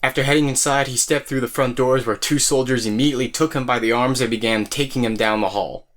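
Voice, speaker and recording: A man's voice: mid-range voice, steady tone, fast talker, fairly bright tone. A man narrating a scripted audio drama as a storyteller who speaks straight to the listener. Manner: storyteller addressing listeners directly.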